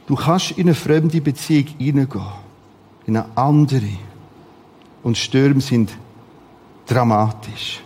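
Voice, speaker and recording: A man with a Swiss German accent preaching a sermon in short, repeated, emphatic phrases, with a husky voice. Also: medium pitch, darkish tone.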